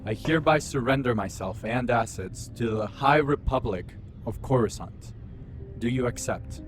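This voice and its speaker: A fallen corporate chief, played by a man speaks in stiff, ceremonious phrasing and keeps his dignity even in defeat. His voice sits in a middle register, and music plays clearly underneath it. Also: mid-range voice, normal speed, natural voice. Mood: formal. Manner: formal, ceremonious. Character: older man, fallen corporate boss, formal and proud.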